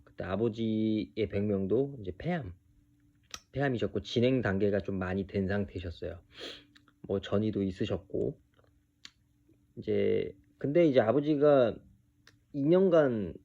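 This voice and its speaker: Male, early 30s, low dark voice, halting unscripted vlog delivery, dull lo-fi sound. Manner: halting, hesitant, trailing into pauses. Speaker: video creator telling his own story. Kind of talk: unscripted personal monologue to camera.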